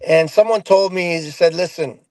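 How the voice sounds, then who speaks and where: A man's voice: a little high, normal speed, darkish tone, no echo. Earnest man in his sixties, dry sound. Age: 60s. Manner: earnest, storytelling with analogies. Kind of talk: casual panel talk.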